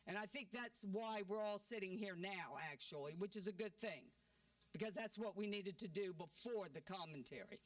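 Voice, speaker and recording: A woman's voice: medium pitch, normal speed, dry recording. An older woman giving an outspoken, chatty public comment at a community forum, tagging her points with "okay?". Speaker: community member speaking at a forum. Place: church hall at a community meeting. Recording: dry, distant handheld mic.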